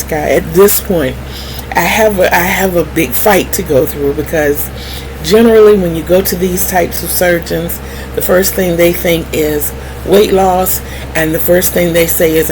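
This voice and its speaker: A middle-aged woman telling personal stories candidly to viewers in a wry, animated manner, acting out remembered conversations. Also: on the low side, moderate pace. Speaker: vlogger. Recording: clearly noisy background hum.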